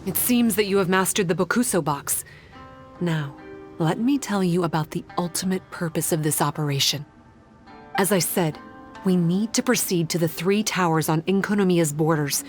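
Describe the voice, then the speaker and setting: A woman playing a composed, analytical guide in a fantasy game, speaking in a measured, formal, explanatory way. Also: lowish voice, faint music behind, lightly acted. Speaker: game character giving quest briefing. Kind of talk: scripted game dialogue.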